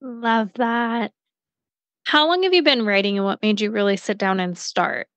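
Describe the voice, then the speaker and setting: A woman hosting a podcast, asking an author guest quick, upbeat questions. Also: fairly high pitch, fairly bright tone, dry recording. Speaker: podcast host. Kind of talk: interview questions.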